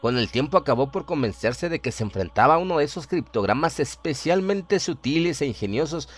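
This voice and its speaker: A man narrating a scripted horror radio drama in a measured, literary, dramatic storytelling manner. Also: fairly high pitch, animated.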